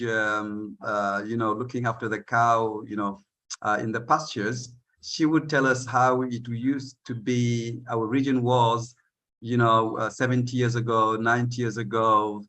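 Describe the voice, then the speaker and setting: A man from northern Senegal speaking on a panel, hesitant, with many fillers and pauses to find his words. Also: mid-range voice, pushed and bright. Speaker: panel speaker, eco-village leader. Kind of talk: unscripted speech on a panel.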